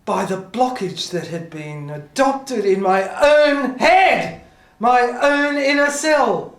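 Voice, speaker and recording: A woman delivering a dramatic spoken-word monologue in halting, fragmented phrases that often repeat. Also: on the high side, animated. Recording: echoey room.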